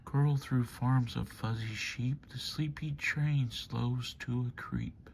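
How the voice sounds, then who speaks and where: A man reading a children's bedtime story aloud in measured, rhythmic rhyme. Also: mid-range voice, fairly even intonation, gravelly, slight background noise. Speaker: storybook reader.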